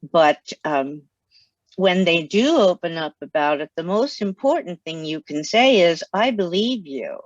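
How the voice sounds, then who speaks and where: An older woman talks gently and openly on a video call from her home study. Her audio sounds dull and muffled, with a very dry, close sound and no room echo. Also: on the low side, animated, a little slow. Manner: gentle, plain and open about hard memories. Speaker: guest author. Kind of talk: interview over video call. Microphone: video call, headset.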